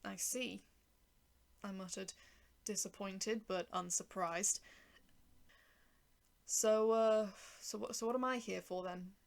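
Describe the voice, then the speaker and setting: A woman reads a first-person story in a creaky voice at an ordinary female pitch. Her delivery is wry and sardonic, moving between narration and spoken dialogue. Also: steady tone, slow, long pauses. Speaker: writer and narrator.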